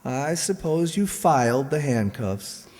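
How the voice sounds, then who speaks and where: Radio play fugitive hero , acted by a man: wry, weary, impatient, unhurried pace with widely moving pitch. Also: big pitch swings, a little mellow. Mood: puzzled, sardonic. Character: wry, weary man on the run, Richard Hannay, impatient and sardonic. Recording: echoey hall, long reverb.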